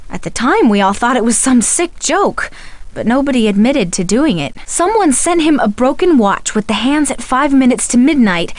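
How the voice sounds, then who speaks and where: A young television actress in a detective game. Her voice is fairly high and recorded dry and close. She talks conversationally, as if confiding in a friend. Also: big pitch swings, moderate pace, dry recording. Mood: confiding, uneasy. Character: friendly young soap opera actress, shaken by threats. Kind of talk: video game character dialogue.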